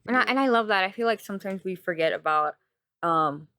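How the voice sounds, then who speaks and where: A woman's voice: medium pitch, lively intonation, a little fast, fairly bright tone, no room sound. A woman who grew up in El Paso, interviewing a guest in a casual, upbeat way, saying "like" often. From born in Houston, grew up in El Paso. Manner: upbeat, chatty, uses "like" a lot.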